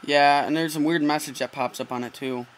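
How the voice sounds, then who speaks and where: A man's voice: a little high, steady tone, a little fast. A teenage male making a casual prank call, keeping a straight, earnest delivery, picked up by a laptop mic. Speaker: prank caller. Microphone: laptop mic, close. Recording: noisy laptop microphone recording.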